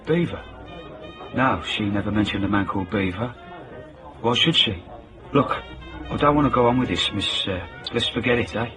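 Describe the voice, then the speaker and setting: A man's voice: fairly low pitch, normal speed, pauses often, natural voice. An actor playing a nervous, evasive man in a radio drama, hesitant and defensive. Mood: uneasy, evasive. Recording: prominent music behind the voice.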